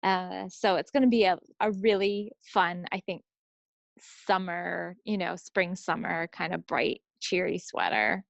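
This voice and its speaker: A woman's voice: on the low side, little pitch movement, a little slow, gravelly, no room sound, highs cut off. A woman co-hosting a hobby podcast, talking in a casual, chatty style. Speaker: co-host of a knitting video podcast. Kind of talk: casual chat.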